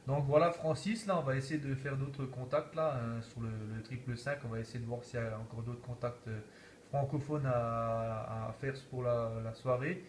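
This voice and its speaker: A man's voice: mid-range voice, steady tone, normal speed, a little hiss or hum. A man talking casually as an amateur radio operator, repeating himself and peppering his talk with verbal tags. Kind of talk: casual radio contact chat.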